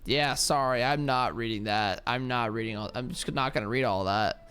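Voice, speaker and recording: A man's voice: mid-range voice, normal speed, fairly bright tone, smooth. A man commenting on a game he is playing live. He jokes and reacts in loose, irreverent slang. His voice is mid-range, with game music faint behind. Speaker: streamer. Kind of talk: casual gameplay commentary.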